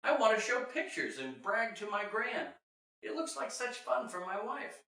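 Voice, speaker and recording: Guy playing a kind storybook dad, heartfelt rhymes, bright lively voice, really echoey. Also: sits high, normal speed, pitched above the performer's own voice, full character voice. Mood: earnest pleading. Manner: heartfelt, theatrical storybook delivery. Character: earnest, kind young father pleading in rhyme. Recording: very echoey room, distant mic.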